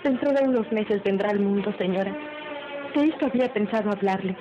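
Una mujer interpreta a una joven esposa humilde, formal y respetuosa, en una radionovela antigua. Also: female voice, mid-range voice, brisk, lightly acted. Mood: humble, pleading. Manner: deferential, earnest, formal. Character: humble, submissive young expectant wife, later grieving. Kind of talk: old Spanish-language radio drama acting. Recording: muffled old radio drama recording, music behind.

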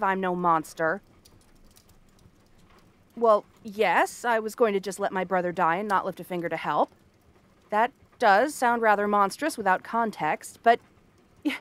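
A woman's voice: medium pitch, fairly bright tone, dry recording, natural voice. Woman acting a bratty, sardonic frog-girl princess. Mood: exasperated, softening. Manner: haughty, sardonic, theatrical tsundere delivery. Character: bratty, cranky cursed frog-girl princess with a soft spot. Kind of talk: ASMR fantasy roleplay monologue.

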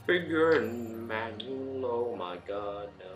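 Unhurried man commentating on a game. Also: mid-range voice, expressive, reverberant, faint music behind. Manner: jokey, mutters to himself, exasperated asides. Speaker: video game let's-player. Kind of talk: casual gameplay commentary.

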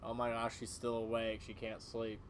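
A man's voice: mid-range voice, completely flat delivery, normal speed. A man doing a game playthrough and reading dialogue aloud in a casual, self-correcting way. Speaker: gaming channel player voicing characters. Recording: clearly audible background noise.

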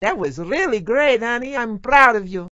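A woman's voice: on the high side, expressive, normal speed, pushed and bright, no echo. A woman playing a doting, teasing Italian-American mama, a theatrical and motherly video game character. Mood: proud, encouraging. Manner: theatrical, motherly, uses endearments. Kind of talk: video game character barks, exaggerated and exclamatory. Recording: slightly dull game audio clips.

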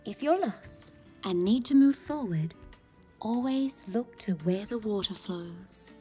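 An actress playing a cryptic, portentous but bumbling messenger, theatrical and halting, in a comedy audio drama. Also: female voice, mid-range voice, animated, warm tone, soft background music. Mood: evasive, theatrical.

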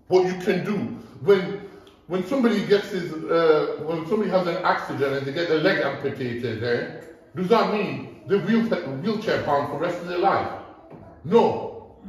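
A man giving a blunt, profane, preachy motivational talk to a group at his gym. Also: fairly high pitch. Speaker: gym owner giving a seminar. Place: large echoey gym indoors. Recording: echoey gym, distant mic.

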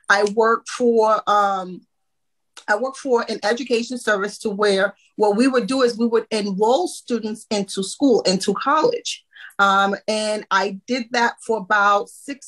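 A woman's voice: on the high side, moderate pace, poor audio. A woman in her thirties, born in Haiti and raised in Florida, a guest accountant telling her story with many "um"s over a video call from home. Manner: warm storytelling with frequent "um" and laughs. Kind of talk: casual podcast interview.

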